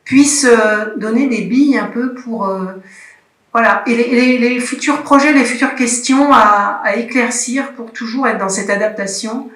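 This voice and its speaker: A woman's voice: fairly high pitch, unhurried, fairly bright tone. Hesitant woman moderating a workshop. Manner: searching for words, many restarts. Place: conference room. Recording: roomy conference hall sound.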